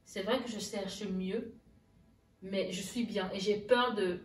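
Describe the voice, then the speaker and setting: A woman with a mid-pitched voice recounts her experience casually as an interview guest. She often relays past exchanges in direct speech. She sounds some way from the microphone in a reverberant room, with faint music behind her. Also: fairly even intonation, slow. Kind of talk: casual personal storytelling. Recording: echoey room, distant mic, music underneath.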